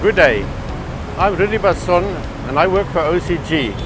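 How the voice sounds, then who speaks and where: A man introducing himself in a relaxed way as a quality expert. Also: high-pitched, moderate pace, music in the background, low-quality recording. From South Africa.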